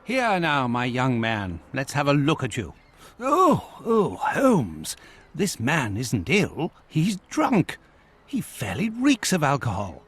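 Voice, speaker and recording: An actor playing a kindly, fussy British gentleman doctor in a video game, expressive and solicitous. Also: mid-range voice, takes it slowly, breathy edge, full character voice. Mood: concerned, then disapproving.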